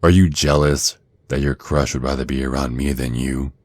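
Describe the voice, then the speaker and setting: An extremely low-voiced vampire acted out by a man, smug and possessive, speaks close and dry as if to a captive. The delivery is seductive, teasing and deliberate, in the manner of an intimate ASMR roleplay. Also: little pitch movement, darkish tone, no echo. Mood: taunting, menacing. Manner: slow, teasing, deliberate, with breathy drops toward a whisper. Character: menacing, possessive male vampire neighbor, smugly seductive.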